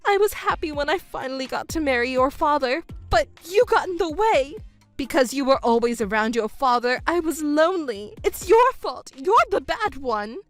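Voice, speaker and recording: A woman voicing a selfish middle-aged stepmother who whines, wails and accuses in a shrill voice. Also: expressive, moderate pace, faint music behind. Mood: shrill, accusing. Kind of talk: narrated drama dub.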